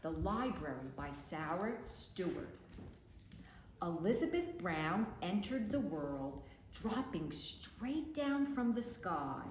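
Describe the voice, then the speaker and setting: Woman, storytime librarian, lively pitch swings, unhurried pace, picture-book reading, faint noise. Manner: expressive, deliberate storytelling delivery. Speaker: children's librarian, storytime reader. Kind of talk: reading picture books aloud to children. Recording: roomy echo with faint background noise.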